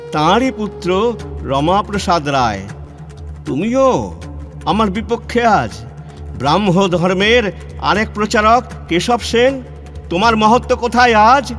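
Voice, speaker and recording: An actor playing an ageing, stern and wounded scholar-reformer in a declamatory, emotional audio-play monologue with dramatic pauses. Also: male voice, high-pitched. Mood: bitter, accusing. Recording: music under the voice. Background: background music.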